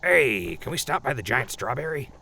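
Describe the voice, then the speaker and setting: Man playing a gravelly, bright-voiced traveler. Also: medium pitch, lively intonation, normal speed, slight background noise, heavily acted. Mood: upbeat. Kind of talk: post-apocalyptic audio drama acting.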